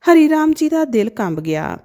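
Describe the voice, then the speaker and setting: A woman narrating a sad moral story from a script in a gentle, emotive storytelling manner. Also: high-pitched, very animated, moderate pace.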